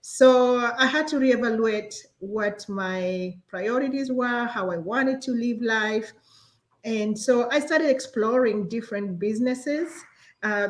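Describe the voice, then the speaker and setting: A woman in her forties with a Kenyan accent, telling her story as an interview guest in a polite, conversational way. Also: a little high. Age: 40s. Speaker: interview guest, real estate agent.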